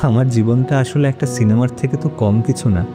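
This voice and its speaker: An actor playing a kindly retired grandfather who tells stories of his past in an indulgent, unhurried manner for an animated series. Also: medium pitch, natural voice. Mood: reflective. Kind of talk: animated audio story narration. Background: background music.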